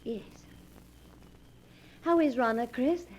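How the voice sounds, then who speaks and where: Gentle, higher-voiced former sweetheart. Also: female voice, slow, poor audio. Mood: polite, guarded. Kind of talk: 1940s radio melodrama acting.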